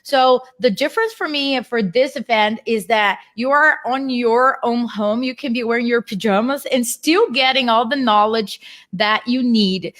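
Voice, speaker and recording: A woman's voice: a little high, fairly bright tone. A woman with a Brazilian Portuguese accent, speaking English as a second language, explaining her work earnestly on a video call interview. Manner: earnest, explanatory, slightly non-native phrasing. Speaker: guest speaker, real estate investor. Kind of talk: casual interview.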